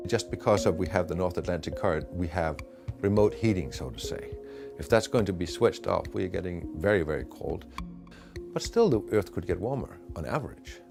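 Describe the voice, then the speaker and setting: A man's voice: deep voice, animated, brisk, creaky. A man from Denmark with a Danish accent, thoughtfully explaining ocean currents in a documentary interview. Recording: documentary clip with music bed.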